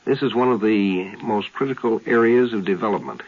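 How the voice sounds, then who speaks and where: Lowish voiced man explaining stuff like a lecture, halting phrases, tinny old radio sound. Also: husky. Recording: thin phone-like 1950s radio recording.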